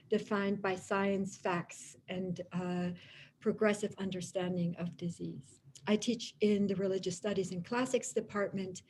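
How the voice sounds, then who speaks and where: Dutch-accented woman lecturer, mid-pitched, thoughtful and hesitant, slight background hum. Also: a little mellow. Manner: thoughtful, many fillers and restarts. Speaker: guest lecturer. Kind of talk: lecture-style talk.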